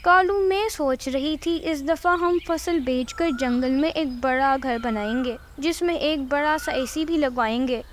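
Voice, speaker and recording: A woman's voice: sits high, unhurried, few pauses, slight background noise. A woman playing a greedy, haughty female crow, a boastful and demanding wife in a children's cartoon. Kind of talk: Hindi cartoon dubbing.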